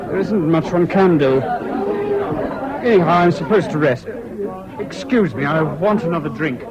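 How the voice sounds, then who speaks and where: Man playing a halting, troubled Englishman. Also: fairly high pitch, a crowd in the background, natural voice. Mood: evasive, flat. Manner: halting, diffident, vague. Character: awkward, evasive, troubled English ex-airman. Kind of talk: 1970s British radio drama acting. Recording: dull old radio recording, busy background noise.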